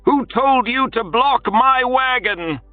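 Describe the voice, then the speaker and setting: A man doing a shrill, haughty court lady. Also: takes it slowly, no echo, narrow, tinny audio, soft background music. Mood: commanding. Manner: haughty, scolding. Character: imperious older woman, court advisor.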